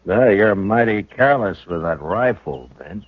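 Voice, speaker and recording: An actor playing a calm, grave frontier lawman who speaks with measured authority and narrates in first person in a 1950s radio drama. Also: on the low side, no room sound. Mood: steady. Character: grave, steady frontier lawman, middle-aged. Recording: tinny old radio transcription with faint music.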